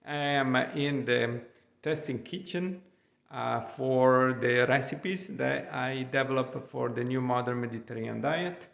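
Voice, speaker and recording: A man's voice: mid-range voice, measured pace, creaky, fairly bright tone. A middle-aged man from Italy with an Italian accent, a chef talking through his food in a rambling, hesitant way full of ums. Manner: rambling, frequent ums and uhs, hesitant English. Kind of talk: casual cooking vlog walk-through. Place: outdoors by the Gulf of Mexico in Florida.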